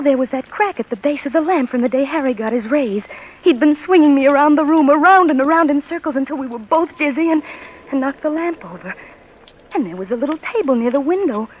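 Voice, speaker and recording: Actress as a high-voiced, frightened young wife. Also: female voice, slight background noise, natural voice, rough recording. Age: young adult. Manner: stammering, breathless narration. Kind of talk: 1940s radio acting. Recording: old radio broadcast with music.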